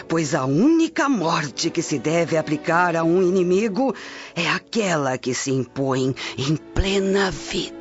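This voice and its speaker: A woman playing a wicked, cruel old sorceress in a radio drama, speaking in a deliberate, menacing way. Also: sits low. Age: older. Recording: dull lo-fi audio with music behind.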